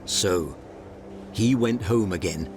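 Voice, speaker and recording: A man's voice: deep voice, slow, background noise. A man narrating a scripted fairy tale as a storyteller.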